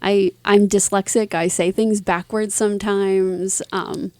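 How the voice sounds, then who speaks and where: A woman's voice: mid-range voice, level tone, measured pace, a little mellow, dry recording. Interview guest, woman, mid-range voice. Informal, modest, chatty storyteller, very little room sound. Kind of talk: casual conversational storytelling.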